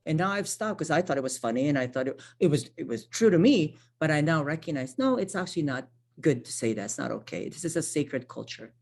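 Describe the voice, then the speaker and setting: Low-voiced woman presenting a workshop. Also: expressive, brisk, darkish tone. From Philippines. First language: Tagalog. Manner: conversational, frequent tag questions and self-corrections. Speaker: workshop presenter, social worker. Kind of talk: online training presentation.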